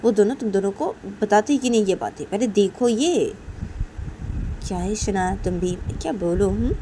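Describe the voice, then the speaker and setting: A woman's voice: mid-range voice, expressive, moderate pace, dark tone, slight background noise, lightly acted. A woman voicing an exasperated mother in a story, nagging insistently and repeating herself. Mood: scolding, urging. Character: nagging, exasperated, scolding mother of young women.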